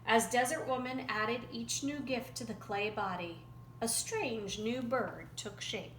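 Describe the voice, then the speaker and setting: Slow, bright-voiced woman telling a folktale. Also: fairly high pitch. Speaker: storyteller. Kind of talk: expressive folktale storytelling. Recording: roomy echo, faint background noise.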